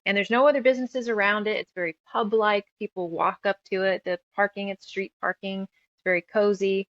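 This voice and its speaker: A woman's voice: medium pitch, fairly even intonation, fairly quick, a bit bright, no room sound, dull audio. A woman from the United States speaking as a creative guest in a casual interview, talkative and reflective as she rambles through her thoughts. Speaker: guest, author, musician and audio drama creator.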